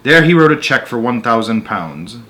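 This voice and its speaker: A man's voice: medium pitch, sing-song, moderate pace, sharp tone. A man reading a children's story aloud as narrator, stepping out for casual, conversational comments on the text. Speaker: narrator and host of a story-time show.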